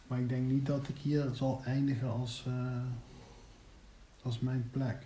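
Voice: mid-range voice, flat delivery, a little slow, dark tone. A person in reflective casual conversation, searching for words and often repeating them, as if being interviewed. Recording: echoey room, faint background noise.

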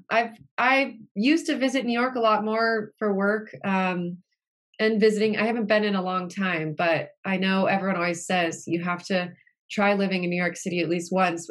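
A woman hosting a casual interview, friendly and relaxed, speaking with fillers and small laughs. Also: fairly low pitch. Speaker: podcast host.

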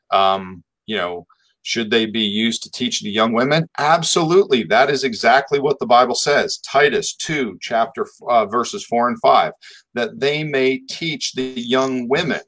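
A man co-hosting a church talk show, preaching on scripture with emphasis and slowing down to read verses. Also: medium pitch, a bit bright, rough recording. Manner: emphatic, reads verses slowly.

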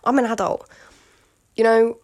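A woman's voice: on the high side, fairly even intonation, a little slow, a little mellow. A British woman in her late teens talks candidly in a casual solo podcast, rambling with lots of fillers and asides to the listener. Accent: British English. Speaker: podcast host.

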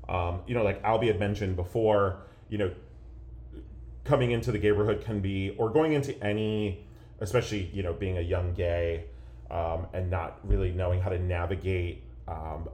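Low-voiced laid-back man in a roomy room. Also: darkish tone. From Pennsylvania, USA. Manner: laid-back, joking, many fillers. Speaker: podcast co-host. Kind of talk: casual banter podcast chat. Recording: roomy echo.

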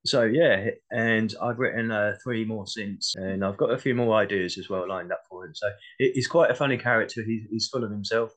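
A man's voice: lowish voice, brisk, hardly any pauses, a bit bright. A man speaking as an interview guest in a casual, modest and reflective way. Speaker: interview guest, playwright and actor.

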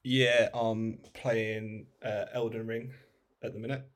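A man with a lowish voice chats as a podcast guest. His delivery is informal and anecdotal, loose and sweary. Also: little pitch movement, slow. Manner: informal, sweary, quotes himself. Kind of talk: casual anecdotal chat.

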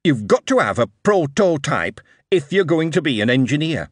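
A man's voice: fairly high pitch, very animated, moderate pace, no echo, studio quality, voice pushed up. A man voicing an eager young Yorkshire lad whose words tumble out as he explains his inventions.

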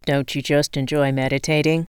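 Woman playing a cheerful low-pitched locksmith guy. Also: little pitch movement, normal speed, clean tone, dry recording, clean studio sound, close to an everyday voice. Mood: beaming. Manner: cheerful, earnest, friendly. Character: beaming, serene male locksmith keen on meditation. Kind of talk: acted audiobook dialogue.

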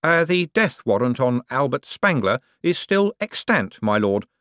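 A man's voice: mid-range voice, sing-song, measured pace, a bit bright, no room sound, clean studio sound, voice pushed up. A man doing a murmuring, dutiful secretary. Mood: deferential. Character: deferential, unimaginative male secretary.

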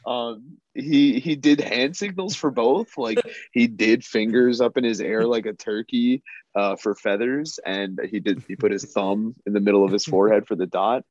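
Lowish-voiced man telling casual stories, dull sound. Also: normal speed, a little mellow, dry recording. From Canada. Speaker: band musician, interview guest.

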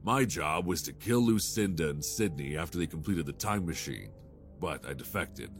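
A man's voice: rumbling low, lively intonation, moderate pace, soft background music. A man playing a remorseful cybernetically enhanced war veteran in an audio drama. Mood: grave confession.

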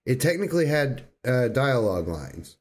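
A man's voice: medium pitch, very animated, moderate pace, a little mellow. A man bantering casually about video games as a podcast co-host.

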